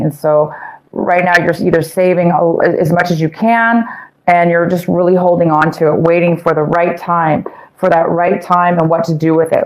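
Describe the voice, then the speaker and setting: Rambling tarot-reading woman, lowish voice. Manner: meandering, many fillers and self-corrections. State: losing her voice. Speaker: tarot reader. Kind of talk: casual tarot reading to viewers.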